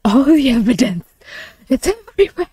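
A woman streamer with a very lively, swooping delivery reacts live in a casual way. She sounds flustered and gushing, giggly and free with swearing, and the recording is dry and close. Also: high voice, moderate pace, breathy edge, dry recording. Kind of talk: live reaction with casual commentary and reading aloud.

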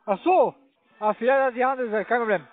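This man's voice is extremely high and squeezed through a tinny phone line. He speaks German with a foreign accent. As a call center agent, he talks haltingly, piecing his sentences together. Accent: foreign, non-native German. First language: not German.

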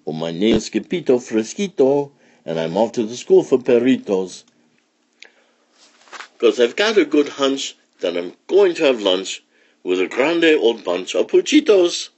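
A man voicing an excitable, boastful boy kitten, exclaiming and half-singing in a storybook. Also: a little high, takes it slowly, creaky, husky, pitched above the performer's own voice, full character voice. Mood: singsong. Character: excitable little boy kitten convinced he's a Chihuahua.

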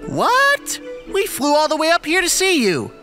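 A man's voice: very high voice, huge pitch swings, unhurried, sharp tone, soft background music, heavily acted. A man voicing a cocky, playful teenage hedgehog hero with teasing slang in a cartoon comic dub. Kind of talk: animated comic dub acting.

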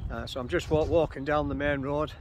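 An older man chatting casually to his phone camera while walking along a campsite road outdoors, with relaxed, rambling delivery full of uh and um. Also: a little high, a little fast. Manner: relaxed, chatty, lots of uh and um fillers. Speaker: caravanning vlogger. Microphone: phone selfie camera at arm's length while walking. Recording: loud wind and outdoor noise on phone mic. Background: wind and rural outdoor ambience.

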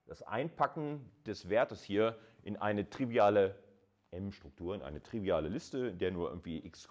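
A male university lecturer with a fairly low voice. He explains step by step in an informal, colloquial style, speaking straight to his listeners as if in conversation. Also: a little mellow.

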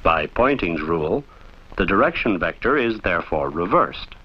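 A man reading scripted instructional narration for a training film in a formal, measured, didactic way, on an old film soundtrack. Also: low voice, big pitch swings, breathy edge, dull audio.

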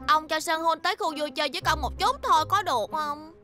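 A woman's voice: high-pitched, quick pace, pushed and bright, faint music behind. A woman voicing a cheeky, spirited little schoolgirl who loves to play, in a children's cartoon dub. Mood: concerned, earnest.

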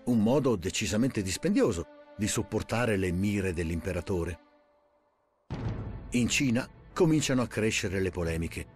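Expressive male documentary narrator. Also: on the low side.

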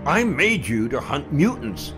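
An actor playing a desperate older scientist in an audio drama, pleading and agitated. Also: male voice, on the high side, big pitch swings, unhurried, music in the background. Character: desperate older male scientist, defiant but cornered.

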